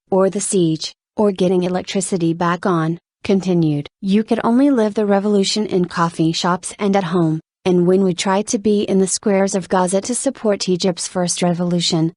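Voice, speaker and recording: A woman reading an interview transcript aloud in an even, measured delivery. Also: on the low side, no room sound, studio quality. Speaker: reader of an interview article.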